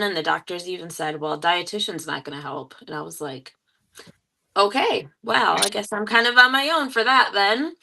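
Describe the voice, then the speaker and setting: A woman in her forties with a Michigan accent, hoarse from a cold, openly telling her story as a guest with many pauses. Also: mid-range voice, bright, forceful tone. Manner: open, halting with many ums. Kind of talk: casual testimonial.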